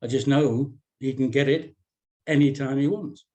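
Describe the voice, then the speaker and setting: A man's voice: mid-range voice, moderate pace, mellow, close to an everyday voice. A man playing a slangy, streetwise young woman who coaxes and pleads with a friend in a screenplay read. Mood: insistent, coaxing. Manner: slangy, coaxing.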